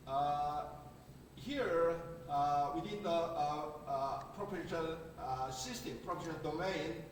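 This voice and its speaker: Korean-accented male conference speaker, slightly high voice, stop-start delivery, captured from a distance in a reverberant hall. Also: slight background noise. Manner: halting, many filler sounds and restarts. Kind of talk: academic conference talk. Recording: echoey hall recorded from far off.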